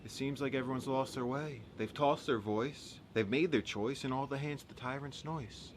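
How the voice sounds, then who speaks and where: A man performing a rhyming, satirical spoken-word monologue in a sardonic, emphatic, theatrical way with dramatic pauses. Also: mid-range voice, slight background noise. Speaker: monologue poet.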